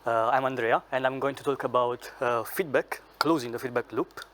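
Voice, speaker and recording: A man with an Italian accent presents at a design meetup, explaining his work eagerly. His voice sits at an ordinary pitch, and faint noise hums behind him. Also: steady tone, moderate pace, a bit bright. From southern Italy. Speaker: UX designer, speaker. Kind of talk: conference lightning talk. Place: meetup venue, live audience and online stream.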